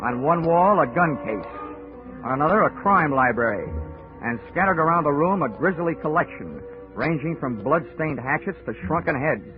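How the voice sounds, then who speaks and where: An actor with an American accent playing a wry, hard-boiled investigator in a 1940s radio drama, dry and wisecracking. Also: male voice, on the high side, lively intonation, normal speed, close to an everyday voice. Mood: wry narration. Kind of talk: 1940s radio acting, noir narration. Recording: tinny 1940s radio recording, music behind.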